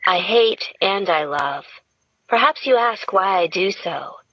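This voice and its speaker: A woman voicing a soft-spoken, lovesick young woman with a placating manner. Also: mid-range voice, expressive, unhurried, no echo, highs cut off. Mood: gentle. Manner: placating, gentle.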